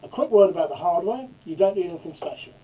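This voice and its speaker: A middle-aged man giving an informal software demonstration in a halting way, often stammering and repeating words. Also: high voice, animated, highs cut off. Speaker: presenter of a software tutorial.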